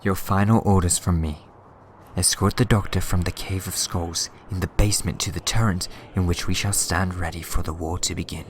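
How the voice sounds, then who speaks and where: An actor playing a sly time-travelling schemer in a sci-fi fan audio drama, teasing and mock-polite. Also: male voice, deep voice, steady tone, normal speed, a little hiss or hum, close to an everyday voice. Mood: petty, commanding. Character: sly, smug, meddling male alien time-traveller schemer. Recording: loud music behind the voice. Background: dramatic music.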